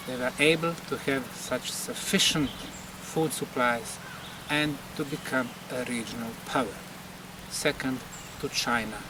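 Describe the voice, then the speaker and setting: A man from Bosnia giving an emphatic on-location explanation as a presenter, in deliberate short phrases. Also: fairly high pitch. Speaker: presenter, pyramid researcher. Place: outdoors at a temple site. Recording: distant mic, clear outdoor background noise.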